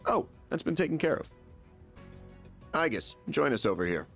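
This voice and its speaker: A man's voice: fairly high pitch, very animated, moderate pace, gravelly, fairly bright tone, faint music behind, full character voice. An actor playing a polite, genial chairman who explains things in a video game. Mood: relieved. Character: polite adult male chairman of a secret research group.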